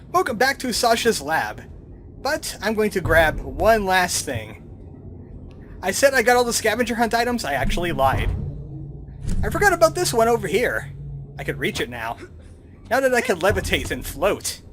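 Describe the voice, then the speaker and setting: A man giving casual, snarky let's play commentary as he plays a video game. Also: high voice. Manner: snarky, wry. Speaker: gamer, let's play host. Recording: commentary over game music.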